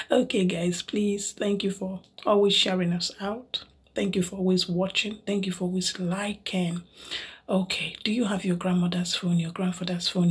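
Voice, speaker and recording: A woman hosting a vlog, chatting to her viewers in a soft, breathy half-whisper and playfully pleading with them. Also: fairly low pitch. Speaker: vlog host. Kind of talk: casual chat to viewers.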